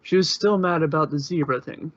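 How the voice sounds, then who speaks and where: A man's voice: a little high, fairly quick, no echo, highs cut off. A man narrating fan fiction in an informal amateur style, occasionally stumbling over words.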